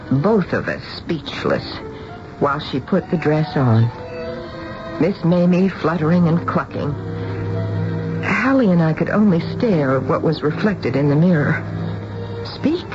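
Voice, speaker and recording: An actress playing a gentle, protective middle-aged mother in a radio drama, anguished yet restrained. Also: low voice, sing-song, a little slow, long pauses. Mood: sombre, reflective. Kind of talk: 1970s radio drama narration and dialogue. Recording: dull 1970s radio recording with music.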